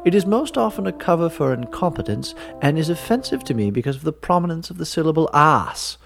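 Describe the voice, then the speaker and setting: A man voicing a formidable, imperious older female secretary who scolds briskly in a high, put-on voice. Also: big pitch swings, creaky, a bit bright, soft background music, exaggerated voice. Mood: haughty.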